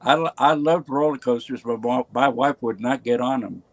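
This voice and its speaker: A man speaks reflectively, and his voice is strongly gravelly with plenty of fry. His pitch sits in the middle, and he lets his thoughts trail out slowly. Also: no room sound. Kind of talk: casual group chat.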